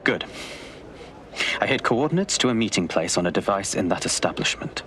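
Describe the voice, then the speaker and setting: An actor playing a wary intelligence officer in a video game, speaking in a terse, formal, guarded way. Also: male voice, on the low side, animated, fairly quick, fairly bright tone, a little hiss or hum. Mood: guarded, grave.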